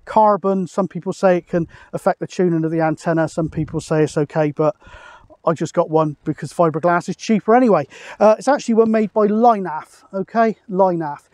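A British man in his forties with a noticeably high voice for a man talks through his hobby in a casual, matter-of-fact way. He is chatty and explanatory. The sound is dry and open, with very little echo, as he records outdoors. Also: fairly quick, darkish tone. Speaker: radio hobbyist vlogger. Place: open misty hilltop outdoors.

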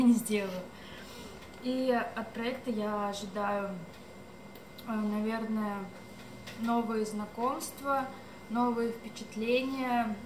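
A young woman with a medium-pitched voice gives an informal self-introduction. She is heard from a distance in an echoey cafe with clear background noise. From Novosokolniki, Russia. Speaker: student introducing herself at a meeting. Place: indoor cafe, seated at table, camera mic at a distance.

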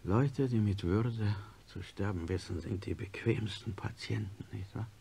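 Stubborn dying boss in a radio drama, super gravelly husky voice, sardonic and deliberate. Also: male voice, fairly low pitch, mellow, heavily acted. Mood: defiant, probing. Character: ailing, domineering male industrialist, stubborn and coolly proud. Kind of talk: German radio play acting.

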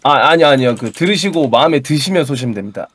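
A man calling into a stream, hoarse from a cold, answering with meek, apologetic, clipped formal replies. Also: mid-range voice, animated, quick pace. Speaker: caller, stream crew member.

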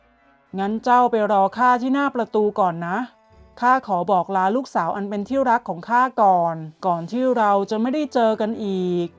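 A woman's voice: a little high, moderate pace, faint music behind, natural voice. A woman voicing a shrewd middle-aged man who owns the house, in a bedtime story. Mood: calm stern. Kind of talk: storybook character voice.

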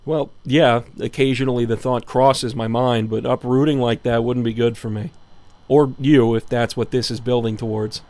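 Cynical animated handler, male actor, mid-pitched, firm, blunt and dismissive. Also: normal speed, no room sound, close to an everyday voice. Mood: dry. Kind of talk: animated short film dialogue.

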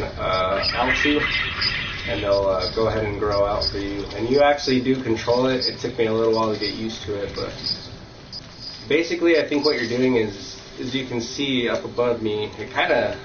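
A young man with a cold, chatting casually and rambling with lots of fillers while streaming a game from home. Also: on the low side. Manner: rambling, filler-heavy, easygoing. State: has a cold, sniffly. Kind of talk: casual live-stream chat while playing. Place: room at home. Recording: distant, roomy, dull lo-fi mic.

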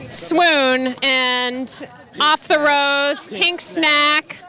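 A woman's voice: high voice, extremely slow, sharp tone. A woman interviewing on a city street with a handheld mic, playful and teasing.

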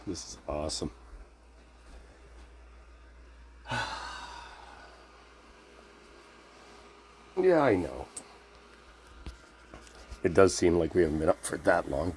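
A man playing an affectionate, easygoing boyfriend in an ASMR roleplay, speaking softly and drowsily in an intimate, unhurried way. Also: low voice, a little hiss or hum, exaggerated voice. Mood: cozy, content.

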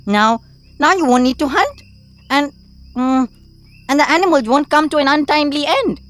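Very high-pitched nervous little hare. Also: female voice, moderate pace, fairly bright tone. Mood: pleading. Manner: stammering, deferential, hesitant. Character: small, nervous, clever male hare, pleading. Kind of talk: cartoon voice acting.

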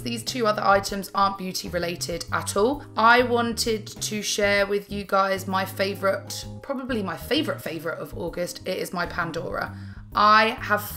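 Croaky-voiced woman gushing about beauty favourites. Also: medium pitch, sharp tone. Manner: chatty, gushing and enthusiastic. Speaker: beauty vlogger. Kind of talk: casual favourites haul chat. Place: small bright room at home. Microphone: camera mic, close framing.

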